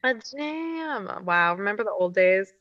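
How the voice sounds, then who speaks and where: A woman's voice: mid-range voice, lively intonation, unhurried. A woman chatting casually as a podcast co-host over an online call, informal and full of exclamations. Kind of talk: casual chat. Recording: dull, muffled online call audio.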